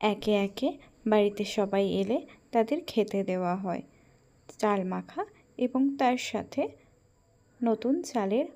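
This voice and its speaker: A woman's voice: medium pitch, measured pace, pauses often, warm tone. A woman storyteller reading scripted narration for a children's story.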